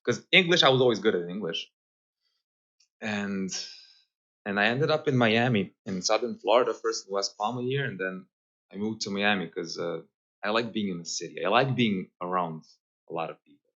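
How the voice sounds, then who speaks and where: Low-pitched Serbian man, slightly muffled. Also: normal speed, fairly bright tone. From Serbia, Novi Sad. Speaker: podcast guest, holistic guide. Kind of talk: casual conversational interview.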